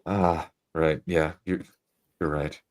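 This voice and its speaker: A man's voice: extremely low pitch, level tone, takes it slowly. A man voicing an awkward, unsure novice adventurer who stammers and defers, in a tabletop fantasy game. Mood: unsure, deferential. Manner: hesitant, stammering.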